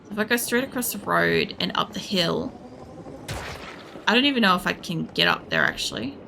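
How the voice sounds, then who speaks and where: A woman with a creaky voice, giving casual, rambling, sweary gameplay commentary while thinking aloud as she streams. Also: fairly low pitch, huge pitch swings, normal speed, noisy background. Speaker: game streamer.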